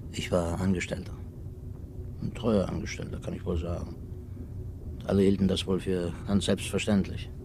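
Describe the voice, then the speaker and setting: An actor playing a weary, timid older former cashier in a radio drama, ruminating formally and putting himself down. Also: male voice, low voice, creaky. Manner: ruminating, self-deprecating, formal. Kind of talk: 1950s radio drama acting. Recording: noisy, aged 1950s radio recording.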